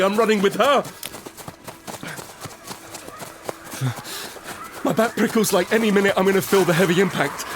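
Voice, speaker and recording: An actor playing a frightened survivor in an audio drama, narrating urgently in the first person and present tense in fragmented phrases. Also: male voice, high-pitched, noisy background. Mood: frantic, fearful. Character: desperate, frightened male survivor of a monster apocalypse. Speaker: narrator and lead character.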